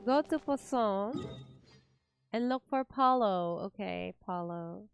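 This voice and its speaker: Slow-paced woman streaming a game. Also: mid-range voice, lively intonation, vocal fry, highs cut off, music in the background. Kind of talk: casual live gameplay reactions.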